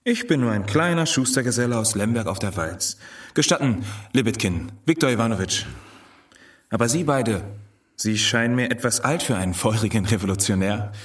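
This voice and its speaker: A man's voice: medium pitch, animated, normal speed, lightly acted. An actor playing an earnest, shrewd journeyman cobbler in a radio play, speaking politely. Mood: wary, polite. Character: earnest, shrewd journeyman cobbler, self-styled humble worker. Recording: slightly distant from the mic.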